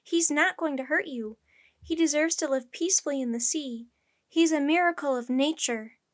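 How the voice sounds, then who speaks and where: A woman voicing an earnest young boy in a storybook, pleading loudly to be heard. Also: high voice, normal speed, pitched above the performer's own voice, natural voice.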